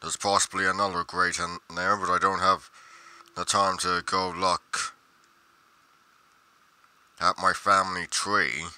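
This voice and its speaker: A man acting a pedantic journal-writing investigator, very bright forceful voice, slow delivery, theatrical, pompous, game roleplay. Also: sits low, little pitch movement, smooth, no room sound, exaggerated voice. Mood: grave, dramatic. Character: pedantic, musing male paranormal investigator narrating his journal.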